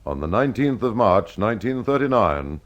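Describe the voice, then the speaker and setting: A man's voice: on the low side, big pitch swings, moderate pace, muffled recording. A man hosting and narrating a scripted detective mystery. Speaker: host and storyteller.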